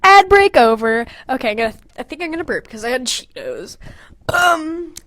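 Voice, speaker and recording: A woman with a high, very animated voice reads her own story like a casual podcast host. She keeps undercutting it with candid, self-mocking, sweary asides. The sound is close and dry. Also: moderate pace, dry recording.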